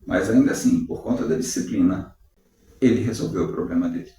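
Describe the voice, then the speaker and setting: Mellow man in an echoey room. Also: lowish voice, expressive, creaky. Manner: measured, uses rhetorical questions. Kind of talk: reflective devotional talk. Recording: very echoey room, distant mic.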